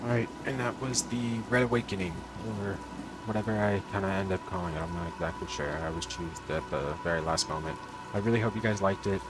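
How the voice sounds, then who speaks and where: A man with a fairly low voice gives a casual, unscripted host's chat. He rambles informally and self-deprecatingly, with clear music and rain behind him. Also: fairly quick, rough recording. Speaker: channel host.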